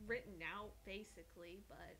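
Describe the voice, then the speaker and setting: A woman's voice: medium pitch, steady tone, normal speed, vocal fry, pushed and bright. A woman co-hosting a livestream in casual chat. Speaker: livestream co-host.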